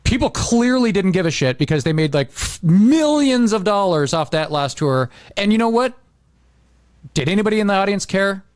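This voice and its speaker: A man's voice: high-pitched, very animated, moderate pace, smooth, no echo, studio quality. A middle-aged man chatting casually on a podcast in a rambling, blunt way, with some swearing. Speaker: podcast host. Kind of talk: casual chat.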